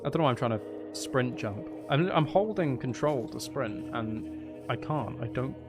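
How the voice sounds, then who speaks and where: A man's voice: medium pitch, animated, moderate pace, music in the background. A man hosting a casual gaming livestream, rambling and thinking aloud with playful, mock-dramatic asides. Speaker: livestream host.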